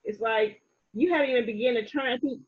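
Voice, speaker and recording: A woman hosts a relaxed interview with an upbeat, motivational push in her talk. Her voice is husky and a little breathy, and the recording sounds dull and lo-fi. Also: a little high, fast talker. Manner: lively, encouraging, motivational. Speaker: host. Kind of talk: casual interview chat.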